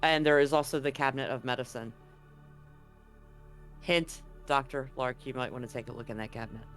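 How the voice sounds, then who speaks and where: A man narrating a tabletop roleplaying game as game master, casually and somewhat haltingly, feeling for his words. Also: mid-range voice, fairly even intonation, a bit bright, soft background music.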